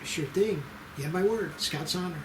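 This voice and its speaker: A man's voice: high voice, moderate pace, natural voice. A man playing a self-assured, wry special agent from child services in an amateur screenplay table read. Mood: wry, easy. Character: cocky, unflappable male federal child services special agent. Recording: roomy echo with clear noise.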